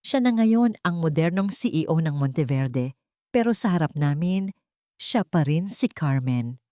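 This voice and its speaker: Expressive low-pitched Filipino woman narrating a story. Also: a little slow, no room sound, clean studio sound. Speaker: audiobook narrator. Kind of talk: scripted first-person dramatic story narration.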